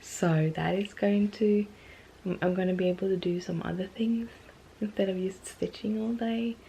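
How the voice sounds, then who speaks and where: A woman's voice: mid-range voice, fairly even intonation, moderate pace, vocal fry, dark tone, a little hiss or hum, rough recording. A woman vlogging casually to her camera, stuffy and losing her voice, rambling with many ums and restarts. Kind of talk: casual talking-to-camera vlog.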